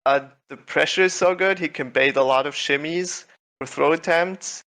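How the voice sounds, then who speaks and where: Young man, from Switzerland, gravelly voice, slightly high, relaxed, humble, lots of restarts. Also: moderate pace. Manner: laid-back, humble, filler-heavy with frequent restarts. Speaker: tournament winner, guest. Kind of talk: casual video-call interview.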